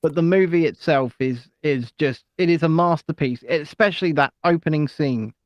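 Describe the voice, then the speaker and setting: Sex: male. Role: live podcast co-host. Pitch: a touch high. Sound: dry and close. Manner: rambling, full of ums and tangents. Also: moderate pace, no echo, lo-fi sound. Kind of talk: casual live chat.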